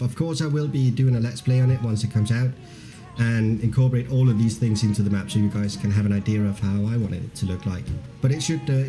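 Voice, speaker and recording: A man's voice: mid-range voice, mellow. A Danish man with a mixed accent that is hard to place, streaming a game live and chatting loosely with viewers, full of fillers and restarts. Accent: mixed, hard to place, with a Danish base. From Denmark. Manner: reads viewer comments aloud and laughs easily.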